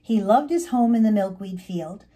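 A woman reading a children's story aloud as its author, expressive and emphatic, drawing out words. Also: mid-range voice, breathy edge. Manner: animated, emphatic, drawn-out words.

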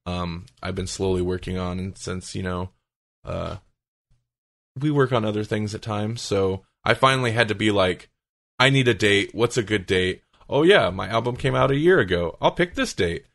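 A man's voice: fairly low pitch, moderate pace, creaky, a bit bright, no room sound, studio quality. A man hosting a podcast in casual chat, with a joking, rambling manner. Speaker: podcast host.

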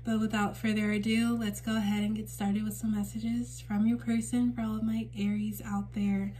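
Woman, raspy voice, mellow tone, flat even delivery, faint hum behind, home reading. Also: medium pitch, normal speed. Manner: gentle, reassuring, uses many fillers. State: raspy voice for a few days. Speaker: tarot reader and channel host. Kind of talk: casual spiritual reading to camera. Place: indoors at a table in a home room.

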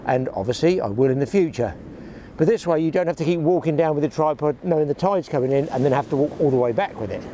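A man's voice: fairly high pitch, mellow. Older man rambling on a windy beach. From England. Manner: chatty, rambling, grumbling with dry humour. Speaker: fishing vlogger. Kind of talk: casual chat to camera. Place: open shingle beach outdoors. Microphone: action camera held close. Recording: outdoor beach noise behind.

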